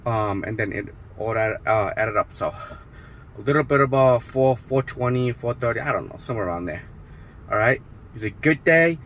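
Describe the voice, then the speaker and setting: A man's voice: mid-range voice, animated, moderate pace, fairly bright tone, a little hiss or hum. A man driving for a rideshare service, talking casually to the camera in his car, tired and losing his voice, repeating his catchphrases. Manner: upbeat, repeats catchphrases, loose rambling talk. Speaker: rideshare driver. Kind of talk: casual vlog narration while driving.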